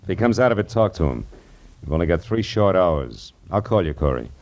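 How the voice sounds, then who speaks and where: An American actor playing a wry, world-weary private detective in 1940s radio style, sardonic and full of dry delivery. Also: male voice, low voice, very animated, brisk, background noise. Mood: businesslike. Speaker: actor, lead and narrator. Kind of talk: 1940s radio detective acting, narration and dialogue. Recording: old radio recording with music behind.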